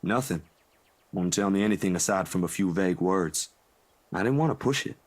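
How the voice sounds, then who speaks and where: Low-voiced, caring young man. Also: lively intonation, brisk. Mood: earnest, apologetic. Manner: casual, colloquial, hesitant. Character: laid-back, caring young man, worried for his friend. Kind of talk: visual novel voice acting.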